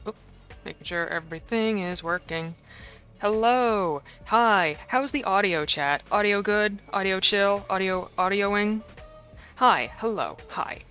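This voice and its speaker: A slightly hoarse woman streamer in casual live-stream chat, rambling and playful as she talks to her chat. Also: medium pitch, animated, unhurried, a bit bright, faint music behind.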